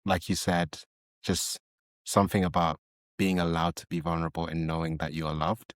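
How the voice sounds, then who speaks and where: A man from Zimbabwe co-hosting a podcast, earnest and halting, often repeating his words. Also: sits low, completely flat delivery, no echo. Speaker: podcast co-host. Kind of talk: podcast interview, casual chat.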